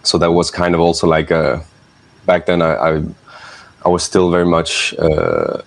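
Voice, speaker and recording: A man who grew up in Italy, talking as a guest on an online roundtable, thoughtful and full of pauses and ums. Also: sits low, gravelly. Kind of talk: casual discussion.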